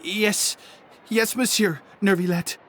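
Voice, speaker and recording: Slow, timid stammering culprit. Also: female voice, mid-range voice, steady tone, fairly bright tone, a little hiss or hum. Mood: nervous, guilty. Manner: hesitant, halting, nervous. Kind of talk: video game voice acting.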